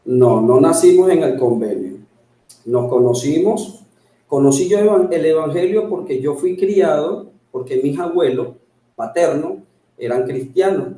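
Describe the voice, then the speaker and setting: A Venezuelan man in his forties recounts his story earnestly, sometimes searching for his words. His voice is very dark and mellow, and slightly higher than most men's. The sound is echoey, as if he is in a bare room. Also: unhurried. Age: 40s. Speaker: interview guest. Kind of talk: storytelling in an interview.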